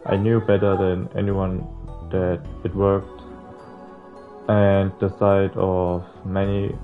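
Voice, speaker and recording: A man's voice: low voice, flat delivery, takes it slowly, narrow, tinny audio, soft background music. A man hosting a visual novel playthrough, reading the game text aloud and adding casual, slangy, sometimes sweary commentary. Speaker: video game playthrough host.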